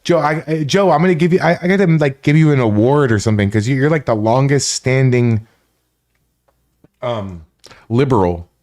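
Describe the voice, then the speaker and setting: A man's voice: medium pitch, normal speed, a little mellow. A man from Florida hosting a casual live stream, rambling, greeting chat viewers by name and swearing freely. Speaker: livestream host.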